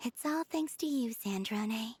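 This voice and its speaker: A woman playing a gentle, dreamy, girlish young woman in a video game, speaking softly and earnestly. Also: on the high side, expressive, a little slow, a little hiss or hum. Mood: soft, happy. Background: game music.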